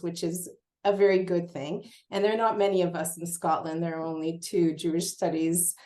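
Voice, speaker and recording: Lady academic from montreal opening her talk, lowish voice, lots of ums. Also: a little mellow. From Montreal, Canada. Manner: friendly, with frequent "um" fillers. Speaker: cultural studies scholar, panel speaker.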